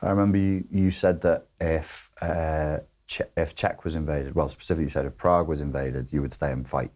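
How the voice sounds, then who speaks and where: Male host, very deep voice, casual interviewer who asks probing questions and makes dry jokes. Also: steady tone, brisk, a little mellow. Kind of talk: casual conversation.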